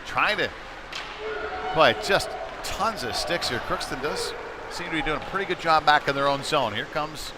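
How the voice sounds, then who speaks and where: A man giving live ice hockey play-by-play in a conversational, fragmented way, following the action phrase by phrase. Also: medium pitch. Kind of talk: live sports commentary. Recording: noisy live rink broadcast.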